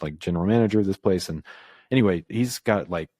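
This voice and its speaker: A man from the American South, a podcast guest, talking casually and reflectively with lots of pauses and fillers. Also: low-pitched, warm tone, no echo, studio quality. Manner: reflective, halting, filler-heavy. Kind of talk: casual conversation.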